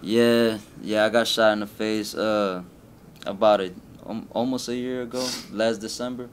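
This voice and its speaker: A young man with a Colombian-Spanish accent, from Colombia and raised in Miami, talking about his life as a rapper in a casual interview, laid-back and halting with many restarts. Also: on the low side, steady tone, slight background noise.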